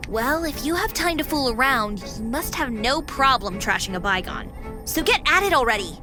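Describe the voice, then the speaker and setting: A woman's voice: sits high, animated, normal speed, music in the background. A woman playing a teasing, sassy young female AI companion in a video game, with a playful, mock-formal manner. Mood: exasperated.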